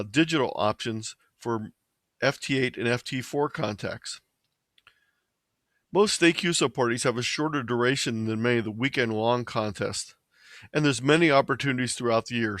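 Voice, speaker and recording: A man narrating a scripted slideshow presentation in a measured, slightly halting way, stumbling over the odd word. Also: mid-range voice, a bit bright, dry recording, studio quality. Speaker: presenter of an amateur radio slideshow.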